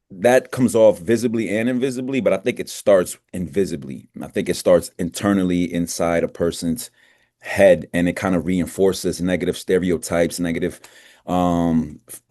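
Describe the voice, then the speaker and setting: A man speaking as a panelist on a video call, answering thoughtfully and deliberately with pauses between phrases. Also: sits low, mellow, no echo, clean studio sound. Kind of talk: panel discussion.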